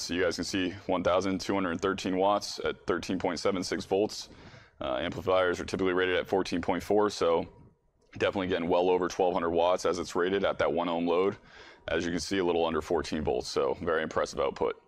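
A man's voice: low voice, fairly even intonation, fairly quick. An American man in his thirties giving a casual product demonstration as a company boss. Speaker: company CEO presenting a product. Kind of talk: casual product demo presentation. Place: indoor workshop, in front of a workbench.

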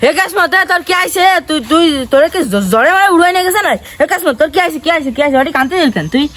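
A man voicing an excitable, pushy village friend in broad comedy, insistently repeating himself in a south-western Bangladeshi accent with a pitched-up cartoon effect. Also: very fast. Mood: excited concern. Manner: insistent, repeats questions and commands.

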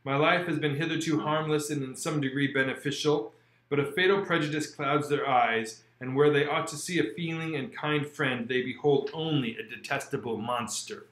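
A man's voice: a little high, moderate pace, fairly bright tone, close to an everyday voice. A man voicing a lonely, shunned male creature who pleads in a formal, earnest way. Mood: pleading. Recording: roomy echo.

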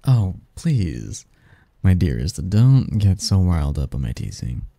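A man performs a seductive male sleep demon in an intimate ASMR roleplay, low and very mellow. He speaks slowly and softly, with teasing, possessive devotion and formal, flirtatious phrasing. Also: big pitch swings, creaky, no echo, full character voice. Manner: flirtatious, formal wording, soft intimate delivery, chuckling.

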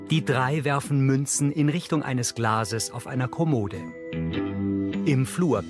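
A man reading scripted audio description in a sober, matter-of-fact way. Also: medium pitch. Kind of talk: scripted audio description narration, present tense.

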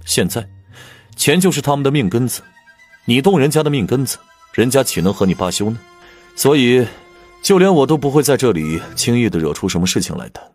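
An actor playing a grave, troubled imperial prince in an audiobook drama, speaking candidly with measured emphasis. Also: male voice, mid-range voice, very animated, darkish tone, slightly rough, soft background music. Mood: cautioning.

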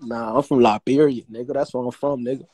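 A young man hosting a phone livestream from a small indoor room, talking in brash, swearing, quick banter. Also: mid-range voice, steady tone, mellow, breathy edge. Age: young adult. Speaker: livestream host. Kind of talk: casual livestream chat. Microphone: phone held close to the face.

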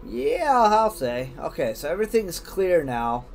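Higher-voiced man casually narrating his gameplay. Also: sing-song, a little slow, creaky, background noise. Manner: informal, reactive, thinks aloud. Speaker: let's play host.

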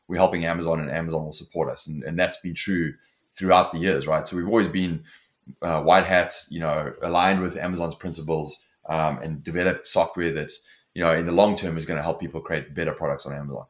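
A man's voice: very deep voice, steady tone, a little fast. A man in his forties with a South African accent, a company founder talking casually as an interview guest over a video call, with many restarts and fillers. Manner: many restarts and fillers, fluent and forward-driving. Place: home office with shelves.

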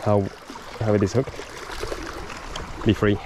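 A man's voice: fairly low pitch, little pitch movement, takes it slowly. A man in his forties talking casually to camera while fishing from coastal rocks, chuckling between remarks, recorded on an action camera. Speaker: vlogger, angler. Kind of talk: casual outdoor vlog commentary. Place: outdoors on coastal rocks by the sea. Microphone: action camera on a chest mount and handheld. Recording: outdoor noise from wind and water.

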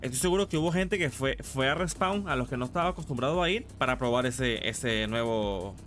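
A man's voice: mid-range voice, lively intonation, fairly quick, fairly bright tone. A man chatting casually on a live game stream, slangy and sweary as he gripes like a regular player. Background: music.

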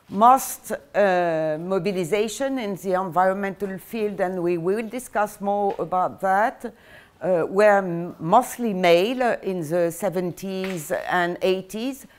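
An older woman with a French accent lectures in a classroom, her voice caught from a distance. She talks in a halting way, filling pauses and restarting her sentences. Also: mid-range voice. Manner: hesitant, many fillers and restarts. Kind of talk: university lecture. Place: classroom with projector screen.

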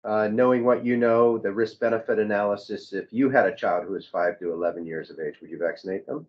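A man's voice: on the low side, a little fast. A middle-aged man, a doctor moderating a panel discussion over a video call from an office. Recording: dull video-call audio.

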